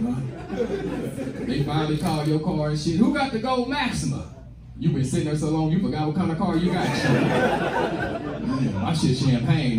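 A young American man with a high voice works a stand-up stage with loose, profane slang. He is heard in an echoey club from some distance, with a noisy crowd all around him. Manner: slangy, profane, conversational delivery with acted-out dialogue. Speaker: stand-up comedian. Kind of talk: stand-up comedy routine. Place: small comedy club stage, indoors. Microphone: handheld stage mic, recorded from a distant camera. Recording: echoey club room, distant mic, loud crowd noise. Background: audience laughter and room noise.

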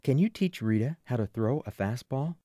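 A man's voice: mid-range voice, lively intonation, moderate pace, dark tone, no echo, studio quality, close to an everyday voice. A man voicing an earnest, polite boy who captains a baseball team in an audiobook. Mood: eager. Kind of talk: audiobook character voice.

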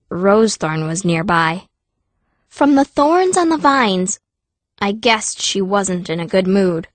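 A woman's voice: fairly high pitch, no echo. A woman playing a fierce, wry teenage girl mage, narrating her own story in an audiobook. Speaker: first-person narrator.

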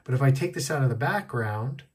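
A man with a slightly husky voice, giving a casual hands-on tech tutorial, explaining step by step and repeating key points. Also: mid-range voice, lively intonation, a little fast, very noisy. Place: indoors at a wooden desk.

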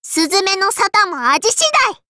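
A woman's voice: very high voice, expressive, normal speed, pushed and bright, dry recording, clean studio sound, full character voice. A woman voicing a tiny, prim and strict sparrow girl innkeeper with a childlike lisp and a cutesy verbal tic, in an anime mobile game. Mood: fierce, commanding. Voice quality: childlike, lisping. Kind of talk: Japanese anime mobile game character voice lines.

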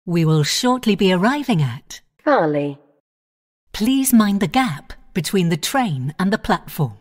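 A woman giving automated onboard train announcements in measured, clipped phrases, naming each station in turn. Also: medium pitch, big pitch swings.

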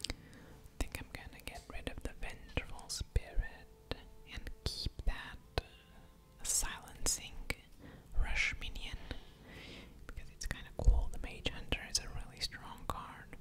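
A woman whispering ASMR game commentary in a breathy voice with soft mouth sounds, musing aloud over each move. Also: a little slow. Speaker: game streamer, ASMR creator.

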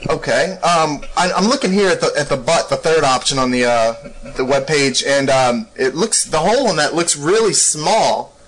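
A man's voice: on the high side, lively intonation, moderate pace, few pauses, fairly bright tone, poor audio. A man co-hosting an internet radio show, asking interview questions in an informal, jokey way. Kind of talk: casual phone interview questioning.

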